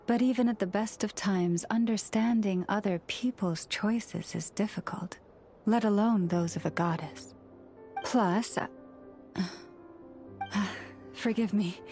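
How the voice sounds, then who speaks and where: A woman playing a young moon priestess in a video game, solemn, gentle and earnest, speaking in a measured, formal, reverent way. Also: medium pitch, close to an everyday voice. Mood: troubled, reflective. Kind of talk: video game cutscene acting.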